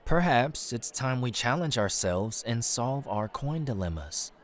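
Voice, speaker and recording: An actor playing a cheeky, ambitious young crusader in a fantasy audio drama, casual, flippant and cajoling. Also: male voice, medium pitch, expressive, unhurried, natural voice. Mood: persuading. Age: young adult.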